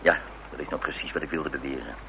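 Very deep-voiced, deadpan detective. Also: male voice, fast, vocal fry. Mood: cool, accusing. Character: cool, terse male private detective, confronting suspects. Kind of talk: radio play acting. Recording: noisy old radio drama recording.

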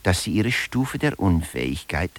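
A man's voice: low voice, sing-song, normal speed. A man narrating a satirical lecture in a radio play, articulate and emphatic in a mock-scholarly way.

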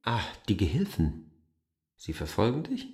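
A man's voice: fairly low pitch, wildly swooping pitch, a little slow, mellow, breathy edge, no room sound, studio quality, full character voice. An actor playing a stubborn, brooding newcomer arguing with his lover in a German radio drama, subdued, intimate and insistent. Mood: quietly probing.

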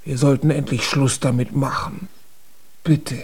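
A woman's voice: extremely low pitch, steady tone, measured pace, darkish tone, slightly rough, heavily acted. A weary giant mother in a children's radio drama. She speaks in an exasperated, pleading way, like a parent at the end of her patience. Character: worn, pleading giant mother of a tiny son.

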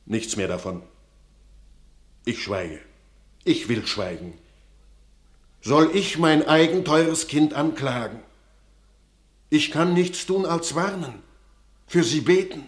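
A man's voice: a little high, lively intonation, slow pace, long pauses. An actor playing an anxious old village father who speaks in solemn, warning tones in classic radio drama verse. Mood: foreboding.

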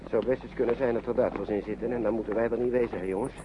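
A man's voice: medium pitch, steady tone, racing, close to an everyday voice. An actor in a radio play voicing a kindly, anxious man shepherding children, fussy and reassuring, often repeating himself. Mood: protective, cautious. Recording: noisy old radio play recording.

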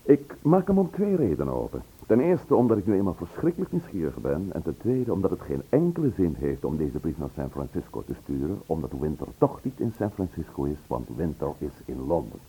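An actor playing a shrewd, curious husband who calmly takes charge in a radio play, questioning in a measured, controlled way. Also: male voice, deep voice, breathy edge, heavily acted, poor audio. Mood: cool, curious.

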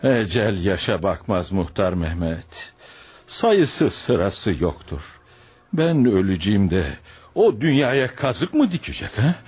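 An actor in a Turkish radio play as a stubborn, pious older village hodja and father, speaking emphatically and deliberately in proverbs. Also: lowish voice, very animated, a little mellow, slightly rough, full character voice. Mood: firm, righteous. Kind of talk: Turkish radio theatre acting.

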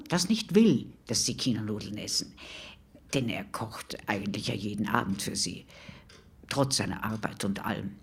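Female neighbour, very raspy voice, animated intonation, hesitant, digressive, mock radio interview. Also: extremely low pitch, exaggerated voice. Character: chatty female neighbour recalling a stench, digressive. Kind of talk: mock documentary interview, spontaneous-sounding monologue.

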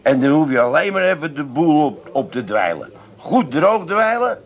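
An actor playing a jovial, crafty drinking pal, chatty and casually bossy, in a comedic radio play. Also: male voice, fairly high pitch, very animated, normal speed, vocal fry, highs cut off. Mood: breezily instructing. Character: jovial, crafty pub mate of the schemer.

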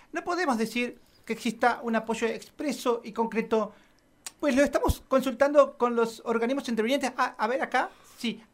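A woman's voice: fairly high pitch, close to an everyday voice. An Argentine woman playing an evasive, self-serving local councillor in a satirical radio play, hesitant and wordy with officialese. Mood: officious.

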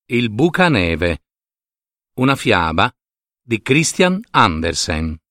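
A man narrating a fairy tale as a storyteller, in theatrical, deliberate phrases. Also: lowish voice, sing-song, background noise.

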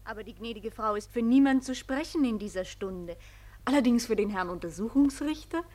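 Woman acting a high-voiced, polite maid. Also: a little fast, darkish tone, natural voice. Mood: deferential. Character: polite female servant receiving callers. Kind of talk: 1950s German radio play acting.

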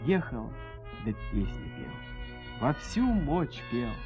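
A man telling a scripted fairy tale in a theatrical, expressive folk-tale manner, with a husky voice. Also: medium pitch, takes it slowly, dull audio, music in the background. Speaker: storyteller, narrator. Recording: dry studio audio play.

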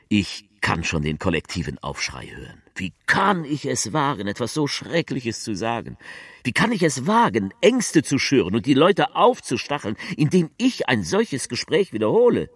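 Low-voiced, declamatory politician. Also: male voice. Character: male politician orator, grave, self-justifying, solemnly persuasive. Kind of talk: theatrical political speech in a radio collage.

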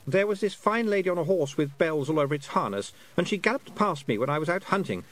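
A man's voice: a little high, lively intonation, quick pace, fairly bright tone, pitched above the performer's own voice. A man reading aloud, voicing an anxious, chatty twelve-year-old boy who rambles and hesitates.

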